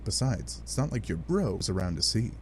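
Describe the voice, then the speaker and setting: A man with a fairly low voice narrates a comic adventure in an animated, sardonic way. His pitch swings widely between dry statements and dramatic asides. A light but steady noise sits behind him. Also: fairly quick. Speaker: narrator of a fan dub read-along.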